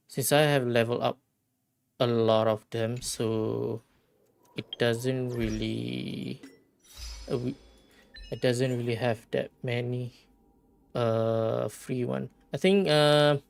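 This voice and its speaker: A game livestreamer chatting casually, rambling and halting while thinking aloud. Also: medium pitch, fairly even intonation, gravelly. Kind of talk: casual gaming stream chat.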